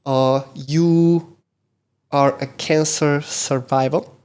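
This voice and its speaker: A man hosting a podcast interview, who often pauses and restarts with fillers as he frames his questions. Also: a little high, steady tone, darkish tone, clean tone. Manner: halting, many fillers and restarts while framing questions. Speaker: podcast host.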